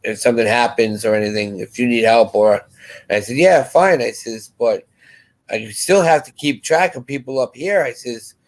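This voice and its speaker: A man's voice: mid-range voice, normal speed. A man chatting casually as co-host of a live show, rambling and restarting his phrases as he goes. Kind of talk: casual chat.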